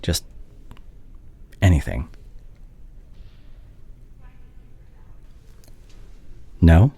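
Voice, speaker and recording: A man acting a conflicted doctor and caring ex-boyfriend in an intimate roleplay drama, halting and earnest, with a husky, breathy voice. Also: low voice, a little mellow, dry recording, heavily acted. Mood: gentle, hesitant. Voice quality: husky, breathy, often dropping to a whisper.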